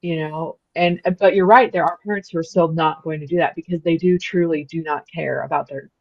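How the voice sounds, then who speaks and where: Teacher voice, emphatic, mid-pitched, on muffled dry audio. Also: steady tone, fairly quick, no room sound. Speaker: teacher in conversation. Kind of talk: casual conversational interview.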